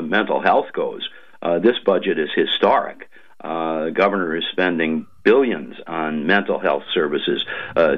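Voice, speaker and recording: A man, a lawmaker guest on a radio show, speaking slowly with many ums and uhs. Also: low voice. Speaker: state legislator guest. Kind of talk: radio interview, policy talk. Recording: muffled lo-fi radio audio.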